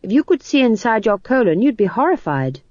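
A woman's voice: fairly high pitch, big pitch swings, fairly quick, darkish tone, no room sound, lo-fi sound, pitched above the performer's own voice. A woman voicing a cheerful, bossy old witch in a storybook, blunt, folksy and chatty. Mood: lecturing. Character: brash, bossy, cheerful old witch posing as humble wood-gatherer.

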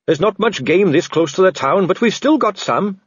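A man's voice: high voice, expressive, moderate pace, husky, no echo, dull audio, voice pushed up. A man voicing a cheerful, earnest young watch captain who is polite and deferential, in an audiobook. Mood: cheerful, helpful. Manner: chipper, deferential, matter-of-fact. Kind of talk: audiobook character acting.

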